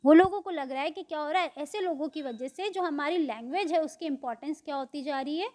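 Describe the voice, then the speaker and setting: A woman teacher explaining a poem line by line, reading English lines slowly and then explaining them in Hindi, asking and answering her own questions. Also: sits high, darkish tone, no room sound.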